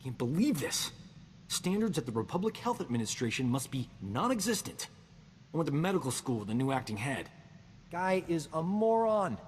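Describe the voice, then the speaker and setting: A man's voice: a little high, big pitch swings, normal speed, in a large hall, slight background noise. A man voicing a roguish, boastful male doctor who talks his way out of everything, in a video game. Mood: indignant. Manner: boastful, glib, self-satisfied. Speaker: companion character.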